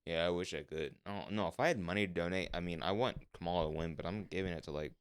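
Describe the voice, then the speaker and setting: A young man co-hosting a podcast in relaxed casual chat, mostly level in tone but bursting into lively swings when he gets passionate. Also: low-pitched, fast, clear, resonant tone, no echo, studio quality. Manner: says his voice is monotone but jumps around when passionate. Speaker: podcast co-host.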